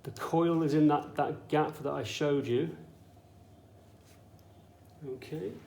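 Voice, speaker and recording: A man's voice: a little high, slow pace, vocal fry, darkish tone. Male science teacher in an echoey room. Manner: explanatory, checks understanding with short tag questions. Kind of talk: informal hands-on demonstration lesson. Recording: echoey room with faint background noise.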